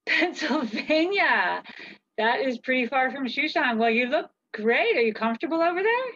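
A woman's voice: high-pitched, lively intonation, normal speed, fairly bright tone. A middle-aged woman chatting in playful role-play for children over a video call. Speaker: co-host and story reader in costume. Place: book-lined room indoors, on a video call. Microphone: computer video call. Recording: dull video-call audio.

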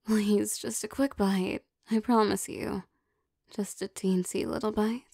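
A woman voicing a possessive, teasing young vampire girlfriend in an intimate, soft ASMR roleplay, coaxing with hesitant pauses. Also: mid-range voice, steady tone, creaky, breathy edge, no room sound, clean studio sound.

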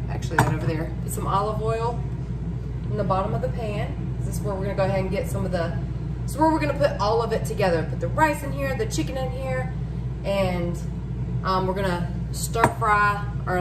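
A woman's voice: mid-range voice, very noisy. A woman, talking viewers through a recipe in a casual, folksy way in her home kitchen. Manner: chatty, folksy, addresses viewers as y'all. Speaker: home cooking vlogger. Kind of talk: casual cook-along talk to camera.

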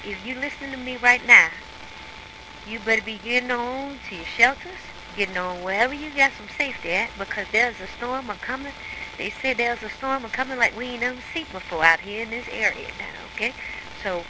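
A woman's voice: a little high, brisk, lightly acted. A woman playing an insistent, folksy radio broadcaster giving an emphatic storm warning in a radio drama. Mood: stern, urgent. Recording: noisy radio broadcast with rain behind.

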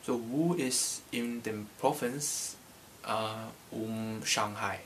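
Slow, halting young Hong Kong man. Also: lowish voice, background noise. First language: Cantonese. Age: young adult. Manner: slow, halting, many hesitations. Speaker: language teacher. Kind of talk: casual language lesson. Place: small bedroom indoors. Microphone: camera in front at desk distance.

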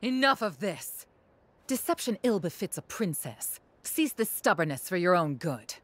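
Stern, low-voiced military commander. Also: female voice, sing-song, normal speed. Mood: stern, impatient. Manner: formal, clipped, imperious. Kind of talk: video game cutscene acting.

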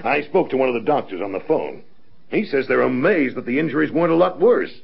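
Gravelly, authoritative air force colonel. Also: male voice, mid-range voice, lively intonation, fast talker. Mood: measured, reassuring. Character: brisk, reassuring senior male air force colonel. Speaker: actor. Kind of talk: mid-century radio drama acting. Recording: dull lo-fi vintage radio recording.

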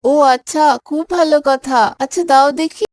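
A woman's voice: high voice, a little slow, darkish tone, dry recording. An eager schoolboy from a children's moral cartoon, voiced by a woman, speaks. The recording is dry and close, with no room around the words. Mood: eager, friendly. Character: eager schoolboy organising a picnic with friends.

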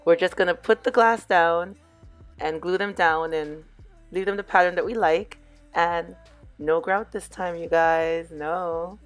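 Hoarse middle-aged woman, lowish voice, easygoing tutorial narration with faint music behind. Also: a little slow. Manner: relaxed, chatty, addresses viewers as "you guys". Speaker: DIY crafts vlogger. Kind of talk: casual crafting tutorial voice-over.

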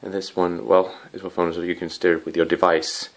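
A man giving a casual hands-on video review in a living room, rambling with apologetic asides and a playful, excitable streak. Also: deep voice, brisk. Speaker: amateur gadget reviewer. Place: living room with wooden floor and rug.

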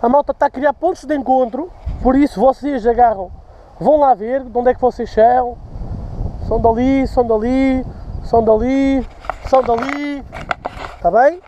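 A man's voice: extremely high pitch, fairly even intonation, normal speed, dark tone, slight background noise, low-quality recording. A man in his thirties with a European Portuguese accent, vlogging outdoors on a dirt path, talking loosely to a handheld camera while walking and drinking a beer, swearing freely. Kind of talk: casual vlog to camera. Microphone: handheld camera at arm's length.

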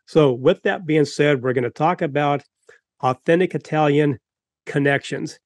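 A man's voice: fairly high pitch, normal speed, no echo. A man in his fifties hosting a podcast over a video call from home, earnest and rambling, with frequent restarts. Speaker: podcast host. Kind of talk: enthusiastic podcast introduction.

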